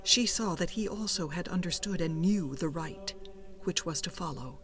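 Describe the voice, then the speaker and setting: A woman reading a suspenseful horror story as an audiobook narrator, with expressive and deliberate delivery. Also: lowish voice.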